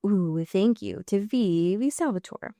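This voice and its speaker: An American woman co-hosting a podcast in casual, comedic chat, riffing with mock exasperation and drawn-out emphasis. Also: medium pitch, expressive, warm tone, no echo. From US Pacific Northwest. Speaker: podcast co-host.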